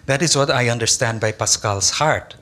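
An older man whose first language is Tagalog, reading a philosophy lecture at a conference in a measured, deliberate way from a lectern in a large lecture hall. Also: mid-range voice, pushed and bright. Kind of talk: academic conference lecture read from a text. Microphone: lectern microphone.

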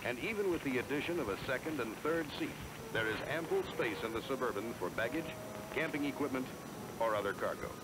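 A man's voice: medium pitch, expressive, a little fast, very noisy. An American man reading scripted promotional narration in a polished, emphatic salesman style, on a 1970s film soundtrack. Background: music.

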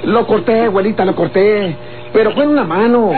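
An actor playing a young rural Mexican grandson, evasive and placating, in a folksy, coaxing radio soap style. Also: male voice, high-pitched, a little fast, crowd noise, natural voice. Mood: flustered, evasive. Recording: old tinny, noisy radio recording.

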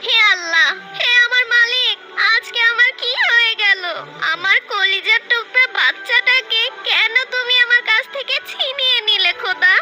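A woman's voice: very high voice, moderate pace, background noise, pitched above the performer's own voice. A woman voicing a distraught, devout mother in a cartoon, pleading and wailing emotionally for her lost son. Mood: tearful pleading prayer. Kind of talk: melodramatic cartoon dubbing.

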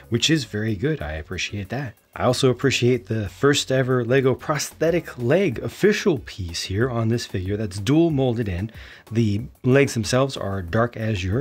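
A man in his thirties, unscripted, giving an opinionated toy review with lively gestures, recorded indoors in front of shelves. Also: fairly low pitch, husky. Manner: animated hand gestures, opinionated. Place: indoor room with storage shelves.

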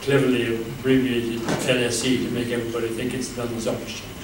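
An older man answering on a panel with measured, hesitant speech in a wood-panelled hall, heard through a handheld mic from a far camera. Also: mid-range voice, little pitch movement. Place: wood-panelled hall, large indoor room. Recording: echoey hall from far off, noisy.

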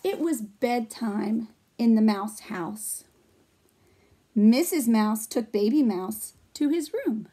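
A woman in her thirties reading a picture book aloud to children in a gentle, expressive way, explaining as she goes. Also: on the high side, slow pace. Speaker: storytime reader. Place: small furnished room at home.

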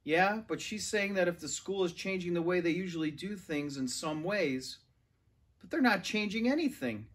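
A man's voice: sits high, expressive, moderate pace. A man around forty reading a children's novel aloud indoors, recorded on a camera a little way off. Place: large furnished living room, indoors. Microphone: camera or phone a few metres away.

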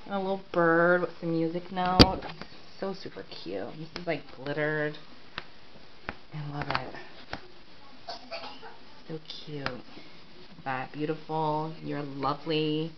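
A woman's voice: low-pitched, steady tone, takes it slowly, pauses often. A congested, sniffling woman gushing over craft paper she bought, filming close-up on a handheld camera at home. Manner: gushing, repeats "so cute" and "I love it". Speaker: crafting vlogger. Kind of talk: casual product haul show-and-tell. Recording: dull lo-fi home camera audio with clear hiss.

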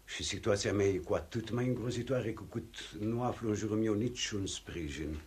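An actor playing a crushed, humiliated and formal middle-aged husband in vintage radio theatre style. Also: male voice, fairly low pitch, darkish tone, breathy edge. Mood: crushed, ashamed.

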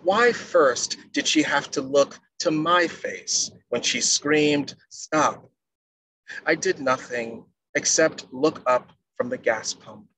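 A middle-aged man giving a measured poetry reading as a poet on a video call. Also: on the high side, sharp tone, no room sound. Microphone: wired earphone mic on a video call. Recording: noisy video-call audio.

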